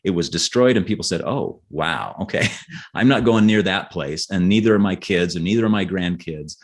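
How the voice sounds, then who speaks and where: A man speaking as an author guest in a conversational interview, explaining in a halting way and often repeating words. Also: deep voice. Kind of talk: conversational interview, explaining history and his novels.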